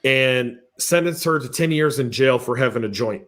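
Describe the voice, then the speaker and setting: A man hosting a podcast panel, telling lively stories and reading out viewer comments in a casual, chatty way. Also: medium pitch, normal speed. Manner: animated storyteller, acts out exchanges. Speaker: podcast host.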